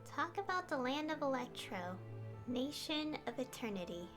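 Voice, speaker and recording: A woman's voice: high-pitched, sing-song, moderate pace. A woman streaming a video game, casually commenting and reading choices out. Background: music.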